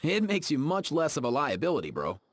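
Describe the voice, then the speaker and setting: Chill friendly vet who keeps saurians, swoopy animated voice, soft music under it. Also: male voice, on the high side, brisk. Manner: easygoing and friendly, with frequent laughs. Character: laid-back young man, a veterinarian who keeps saurians. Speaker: game character. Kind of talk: game voice acting.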